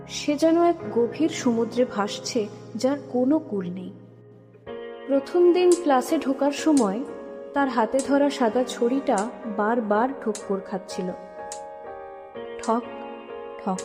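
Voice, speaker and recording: A woman narrator reading a scripted story for an audio drama. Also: on the high side. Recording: long reverb under music. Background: music.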